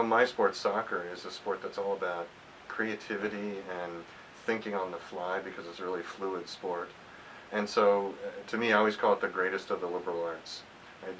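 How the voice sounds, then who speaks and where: Low-voiced man in his fifties, bright edge. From United States, grew up in Texas. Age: 50s. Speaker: alumni interviewee, former college athlete. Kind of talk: reflective interview answers. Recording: hissy, distant microphone.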